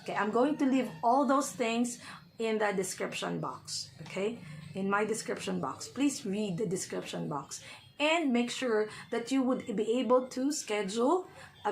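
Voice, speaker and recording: A woman tutor with a hoarse voice, talking casually to her viewers and often checking in with "okay?". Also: fairly high pitch, lively intonation, a little slow, slight background noise. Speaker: reading tutor. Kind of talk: casual vlog talk to viewers.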